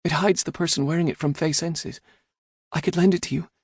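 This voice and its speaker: A man's voice: a little high, steady tone, a little fast, husky, no echo, studio quality, voice pushed up. A man voicing a guarded young woman who talks in a hesitant, earnest way, a storybook figure. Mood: wary. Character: guarded young woman, wary yet hopeful.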